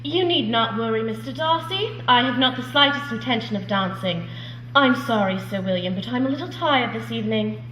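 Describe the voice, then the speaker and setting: A woman playing a spirited, proud young gentlewoman, formal and cutting, in a staged radio drama. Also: fairly high pitch, animated, a little fast. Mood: cool refusal. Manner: haughty, formal. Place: on stage at a vintage-style microphone. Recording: roomy stage microphone, some noise.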